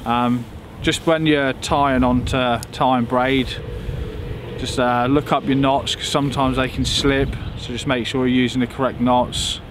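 A young man chats casually to the camera as an angling vlogger. His mid-pitched voice stays quite flat in melody. He is recorded outside, close to the camera, with clear ambient noise around him. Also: measured pace. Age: young adult. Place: outdoors by a river boatyard. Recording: clearly noisy outdoor ambience.

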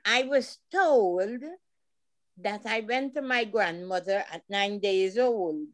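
A woman's voice: medium pitch, no room sound, clean studio sound. An elderly woman from Guyana with a Guyanese accent, giving short, laughing answers on a video call. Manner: slow, laughing, brief answers. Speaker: guest. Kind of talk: casual interview on a video call.

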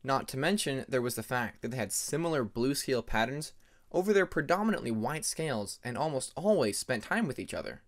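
A man's voice: medium pitch, expressive, fairly quick. A man reading scripted story narration as an audiobook narrator.

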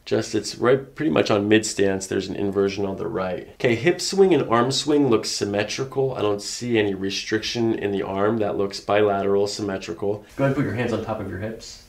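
A man, middle-aged, working as a chiropractor, calmly talking a patient through each step of an exam in a small office. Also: lowish voice, fairly quick, vocal fry, hardly any pauses. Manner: explains each step before doing it. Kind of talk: calm clinical exam talk to a patient. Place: small carpeted clinic office.

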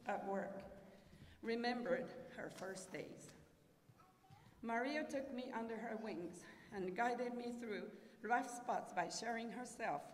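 A middle-aged Japanese woman with a Japanese accent, giving a careful, prepared personal speech at a podium with a handheld microphone, sometimes restarting words. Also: on the high side. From southern Japan. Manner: careful, halting delivery with restarts. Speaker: guest speaker. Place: large school auditorium stage, at a podium. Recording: echoey auditorium with faint hiss.

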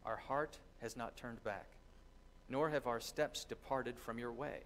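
A middle-aged man preaches to his congregation from a church sanctuary, his voice sitting at an ordinary pitch. He teaches earnestly and pauses to think between phrases. A faint low rumble hangs under the recording. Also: a bit bright. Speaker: pastor. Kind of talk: sermon with scripture reading. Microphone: distant camera, lectern mic.

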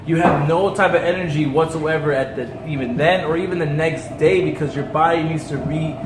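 A man's voice: a little high, a little fast. Chatty young man in an echoey room. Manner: chatty and energetic, gestures a lot. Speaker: co-host. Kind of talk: casual chat. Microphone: camera a few feet away. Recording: echoey room with faint noise.

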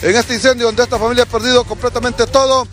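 Honduran man reporting live, high-pitched. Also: little pitch movement, a bit bright, soft background music. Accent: Honduran Spanish. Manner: urgent, restless, fast-talking with many fillers. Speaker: field reporter. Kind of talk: live on-scene news report. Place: outdoors at a burned house.

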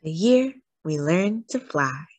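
Female storybook reader, slightly low pitch, highly expressive and deliberate, dry recording. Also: no room sound. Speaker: story reader, host of a read-aloud channel. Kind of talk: expressive children's picture book read-aloud.

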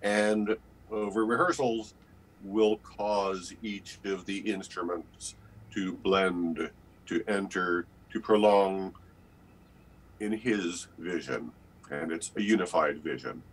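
An older man giving deliberate, measured advice in a panel interview on a slightly noisy video call. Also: low voice. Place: indoor room, on a video call.

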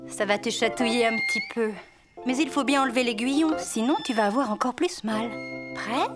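A woman voicing a gentle mother bear in a preschool cartoon, soothing and encouraging. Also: on the high side, normal speed, music in the background, lightly acted. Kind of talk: French preschool cartoon acting.

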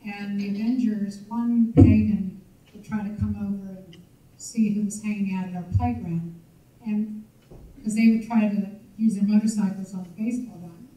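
A woman's voice: medium pitch, fairly even intonation, normal speed, dark tone. An older woman in the audience recalling local events in a chatty, rambling way, with many fillers. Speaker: audience member sharing memories. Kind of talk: recollecting at a public meeting. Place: large banquet hall. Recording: echoey hall, distant mic.

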